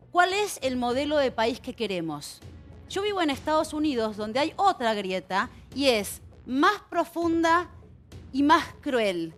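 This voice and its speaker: A woman from La Plata with an Argentine accent, a guest speaking earnestly on a television debate panel. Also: high voice. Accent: Argentine Spanish. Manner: earnest, measured, speaks to the audience. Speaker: studio guest. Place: television studio.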